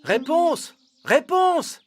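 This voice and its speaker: A gallant young prince from a cartoon fairy tale, voiced by a woman. He is earnest and persuasive, with very lively intonation over faint music. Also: high voice, very slow, heavily acted. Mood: calling out.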